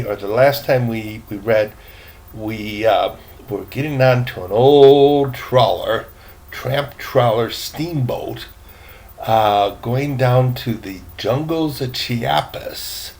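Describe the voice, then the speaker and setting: Creaky-voiced grandfather reading slowly aloud. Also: medium pitch, a little mellow. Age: older. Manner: halting, self-mocking, playful. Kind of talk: casual, folksy storybook read-aloud.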